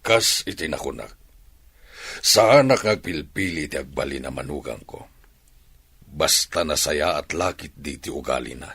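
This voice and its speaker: An actor playing a stern older father, a rancher, who lectures his son gravely in a radio drama. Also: very deep voice, expressive, measured pace, fairly bright tone, heavily acted.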